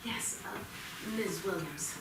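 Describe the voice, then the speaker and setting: A woman's voice: lowish voice, slow pace. A woman playing an anxious, pleading mother in a staged play reading, asking in a hesitant, worried way. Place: small theatre, staged reading. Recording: noisy, very echoey theatre from far off.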